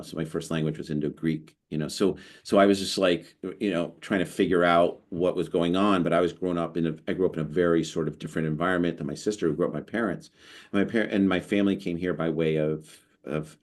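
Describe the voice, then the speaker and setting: American guy with a real low voice, chatty podcast co-host, keeps restarting mid-story. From United States. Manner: animated, storytelling, lots of restarts. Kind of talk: casual conversation.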